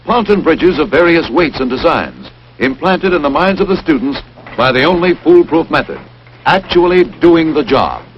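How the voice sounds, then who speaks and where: A man's voice: a little high, lively intonation, normal speed. Man narrating a 1940s newsreel. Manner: emphatic, punchy announcer delivery. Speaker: film narrator. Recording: dull, hissy 1940s film soundtrack.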